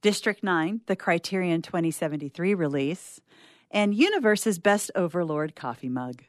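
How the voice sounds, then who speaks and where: A woman's voice: lowish voice, animated, normal speed, no room sound, clean studio sound. A woman giving a scripted comic reading as a performer.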